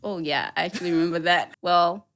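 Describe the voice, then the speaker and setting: A woman's voice: lowish voice, steady tone, a little fast, a bit bright. A young woman, chatting casually as an interview guest and laughing easily. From Zimbabwe. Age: 20s. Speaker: interview guest, university student.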